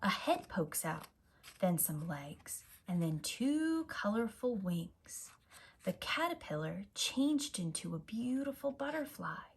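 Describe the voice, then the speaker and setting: Female preschool storyteller, unhurried, very animated pitch, slight background noise. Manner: slow, expressive, simple deliberate phrasing. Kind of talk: read-aloud picture book narration for young children.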